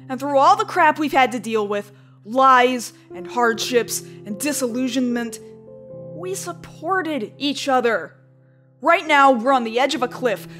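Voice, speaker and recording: A woman acting as an earnest heroine in an amateur web drama. Her high voice is heartfelt and deliberate, with soft music underneath. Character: earnest heroine rallying her weary friends.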